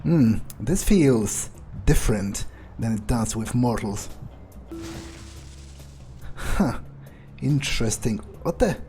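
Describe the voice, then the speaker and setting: A ruthless, foul-mouthed vampire, played by a man in an improvised game monologue. He speaks slowly in a dark, relaxed voice at a middling pitch, weighing things aloud with halting, menacing calm. Faint music plays behind him. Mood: curious, startled. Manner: musing, halting, profane, thinking aloud.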